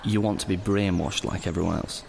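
A man's voice: low-pitched, steady tone, fairly quick, vocal fry, a little mellow, slight background noise. An actor playing a frank, exasperated teenage boy in an audio drama, blunt and sardonic. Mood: challenging. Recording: long reverb, faint outdoor ambience.

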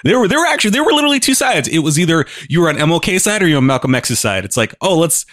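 A man's voice: on the high side, big pitch swings, very fast, a bit bright, dry recording, studio quality. A man co-hosting a casual podcast chat, talking loosely and laughing often as he restarts his sentences. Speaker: podcast co-host.

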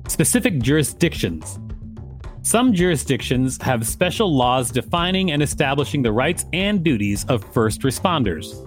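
A man's voice: mid-range voice, big pitch swings, moderate pace. An American man with a mid-range voice reads an encyclopedia article aloud as a podcast host. Faint guitar music sits behind him, and his reading mixes with casual banter.

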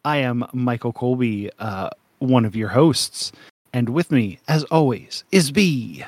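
A young man co-hosting a casual podcast, rambling through jokes and breaking into laughs. His voice sits at a middling pitch and is recorded very dry, close to the mic with no room sound. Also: animated, takes it slowly, darkish tone, dry recording. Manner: jokey, rambling, laughs often. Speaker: podcast co-host.

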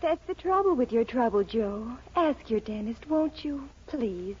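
An actress playing an aloof, coy young sweetheart with pointed, theatrical delivery in a 1940s radio commercial skit. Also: female voice, fairly high pitch, animated, unhurried, a little mellow, husky, full character voice. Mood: coy reproach. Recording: dull vintage 1940s radio recording.

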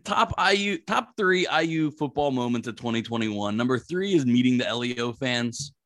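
A man with a deep voice co-hosting a casual sports podcast, rambling and full of fillers. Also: moderate pace, no echo, studio quality. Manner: rambling, animated, lots of fillers. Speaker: podcast co-host.

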